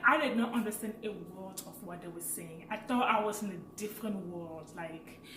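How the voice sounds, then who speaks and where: A woman in her late twenties from Africa, with a French accent, chatting casually as a vlogger and telling a personal story with lively, laughing energy. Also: medium pitch, normal speed, echoey room, far from the mic, slight background noise. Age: late 20s. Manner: chatty and animated, laughs while telling stories.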